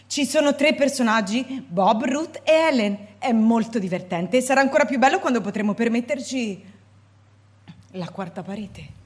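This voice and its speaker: A woman's voice: on the high side, expressive, normal speed, natural voice. Mildred, a woman playing a languid, whiny wife. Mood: wheedling. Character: listless hungover wife, adult woman, drifting and self-absorbed. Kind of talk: radio drama acting.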